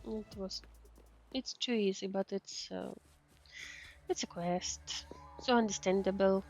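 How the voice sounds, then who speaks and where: A woman giving casual live game commentary as a streamer, in halting, short, non-native English remarks. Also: medium pitch, steady tone, a little mellow.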